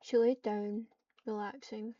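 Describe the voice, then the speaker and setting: A woman voices a roleplay, moving between dialogue and narration. Her delivery is very flat in pitch, with a soft, dark tone. She sounds set back from the microphone, in a slightly dull recording. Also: slow pace. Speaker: online roleplay player. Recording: distant, slightly muffled microphone.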